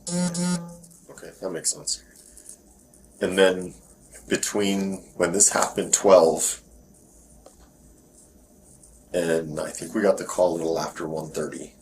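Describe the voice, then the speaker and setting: A man working as a police detective, asking questions informally in a low-key, reassuring way. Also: unhurried, pauses often, darkish tone. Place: police questioning, recorded on bodycam. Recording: noisy police bodycam audio.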